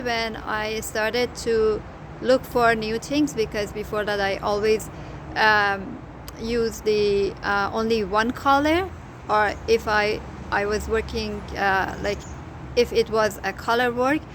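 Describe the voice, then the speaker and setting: A woman's voice: on the high side, a bit bright. A middle-aged woman with a Persian accent, whose first language is Farsi, telling her story as a crochet designer in a casual, hesitant way, with many fillers and restarts. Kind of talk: casual personal story to camera. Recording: noticeable background noise.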